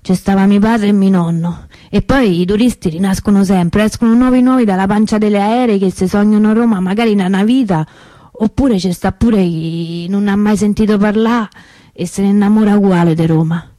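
An actress playing a chatty, cynical working-class souvenir hawker with a Roman accent in a radio monologue. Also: female voice, mid-range voice, fairly quick, mellow, dry recording, natural voice. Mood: wistful chatter. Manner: rambling street storyteller, wry, colloquial. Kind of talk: colloquial theatrical monologue for radio.

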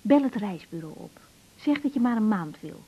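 An actress playing an encouraging grown daughter in a Dutch radio drama, practical and conversational, with an accent from the Netherlands. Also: female voice, mid-range voice, animated, normal speed, breathy edge, muffled recording. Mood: firm, encouraging. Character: brisk, encouraging grown daughter advising her mother. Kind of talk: naturalistic 1980s radio drama acting.

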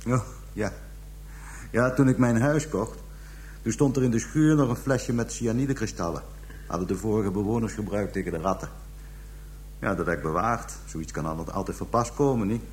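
An actor in a radio drama playing a cold, matter-of-fact murderer confessing without remorse. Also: male voice, on the low side, normal speed, darkish tone, a little hiss or hum, lightly acted. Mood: matter-of-fact, smug. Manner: casual, unrepentant, matter-of-fact.

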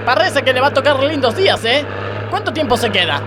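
Fussy local butcher acted by a man, Argentine, high voice, noisy comic radio play. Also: very animated, a little fast. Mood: chatty. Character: fussy, chatty neighbourhood butcher.

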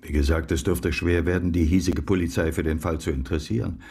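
Gravelly, deep-voiced investigator. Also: male voice, steady tone, fast talker, a little mellow, exaggerated voice. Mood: sober, wry. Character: blunt, seasoned American private investigator. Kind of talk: radio drama dialogue.

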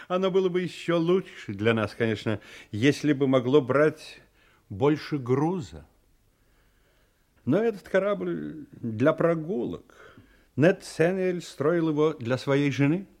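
An actor playing an affable, chatty chief mate of a sailing ship in an audio drama, conversational and gossipy. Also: male voice, fairly high pitch, animated, takes it slowly, pauses often, darkish tone. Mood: affable, explaining.

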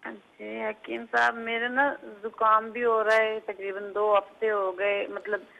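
A woman from Pakistan calling in, speaking slowly and deliberately. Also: a little high, level tone, vocal fry, narrow, tinny audio. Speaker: caller.

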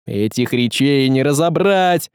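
A man's voice: mid-range voice, expressive, slow pace, darkish tone, no echo, studio quality. A man voicing a scheming, commanding sorcerer in a storybook, insistent and persuasive with archaic phrasing. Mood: angry, demanding.

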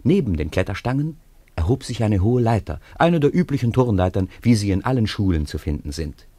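A man narrating a 1950s radio play in an expressive storytelling manner. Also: on the low side, a little fast, no room sound. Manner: expressive storytelling with lively intonation.

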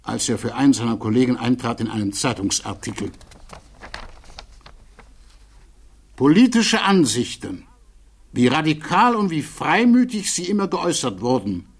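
An actor playing an earnest defense attorney in a German radio play, delivering a formal, measured plea with emphatic rhetoric and deliberate pauses. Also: male voice, mid-range voice, very animated, breathy edge. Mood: solemn. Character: defense attorney pleading earnestly before a security hearing.

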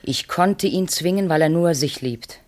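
An actress playing a commanding, fatalistic seductress in a solemn 1960s German radio play, speaking with deliberate, incantatory phrasing. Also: female voice, on the low side, darkish tone, natural voice. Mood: fatalistic, insistent. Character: commanding, fatalistic seductress demanding her husband's death.

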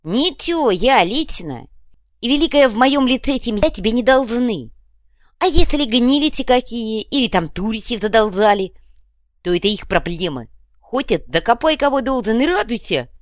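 Woman playing a loud, pompous male savage chieftain. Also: on the high side, big pitch swings, normal speed, no room sound, clean studio sound, voice pushed up. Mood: defiant. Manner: crude slangy speech, pompous and indignant. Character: loud, self-important, dim one-eyed male savage gang leader. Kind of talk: audiobook character voice.

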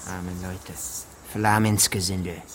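An actor playing a callous, evasive village gamekeeper in a radio play, gravelly and terse with a grudging, dismissive manner. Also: male voice, low-pitched, little pitch movement, slow. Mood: contemptuous. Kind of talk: German radio drama dialogue. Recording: noisy background ambience.

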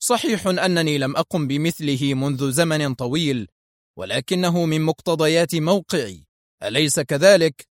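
A man's voice: on the high side, expressive, moderate pace, no echo, clean studio sound, lightly acted. A man voicing a strict judge who speaks curtly and firmly during a surprise inspection. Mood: stern. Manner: curt, firm. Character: stern male judge, strict and commanding.

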